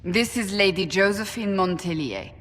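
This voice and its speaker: An actress playing a stern, commanding warrior seeker in a video game, speaking in a formal, firm manner. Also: female voice, mid-range voice, expressive, normal speed, fairly bright tone, in a large hall, faint music behind. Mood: grave, formal. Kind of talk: video game cutscene acting.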